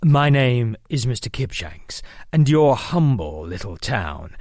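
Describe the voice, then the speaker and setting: An actor playing a smooth, menacing male villain leader who takes over a town, speaking in a cold, silky, threatening way. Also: mid-range voice, very animated, slow pace, darkish tone, no echo, clean studio sound. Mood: silky menace. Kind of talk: audio drama acting.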